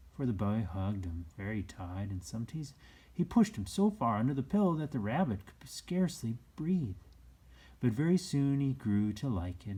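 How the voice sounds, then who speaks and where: A man reading a children's story aloud as an expressive narrator. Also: fairly low pitch, slightly rough.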